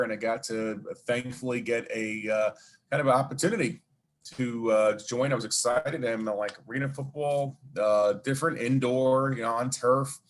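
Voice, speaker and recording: A man with a Brooklyn accent, a guest telling long stories in an interview, rambling with many fillers and asides. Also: mid-range voice. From New York. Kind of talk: casual storytelling interview.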